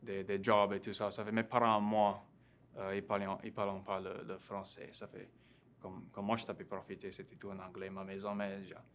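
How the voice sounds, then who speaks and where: A young man in his twenties with a Cajun French accent, talking as an interview guest, halting and full of fillers and restarts. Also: on the low side, monotone, fairly bright tone. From Louisiana. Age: 20s. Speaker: interview guest, graduate student. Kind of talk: casual interview conversation.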